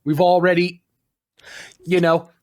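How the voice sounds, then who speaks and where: A man co-hosting a gaming podcast with a voice a little higher than most men's. He talks in an easygoing, joking way and laughs often as he goes. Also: little pitch movement, takes it slowly. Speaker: podcast co-host. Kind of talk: casual chat.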